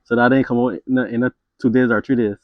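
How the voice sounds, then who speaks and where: A man's voice: medium pitch, little pitch movement, fast, dry recording. A man with a Bajan accent, an interviewer chatting casually in dialect on a slightly muffled recording. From Barbados.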